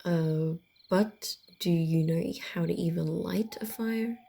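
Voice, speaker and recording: A woman playing a haughty, sardonic female vampire in an ASMR roleplay, speaking softly and slowly, often slipping into a whisper, with a condescending, teasing drawl. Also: lowish voice, vocal fry, full character voice. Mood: bossy, mocking. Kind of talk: ASMR roleplay acting, close and intimate.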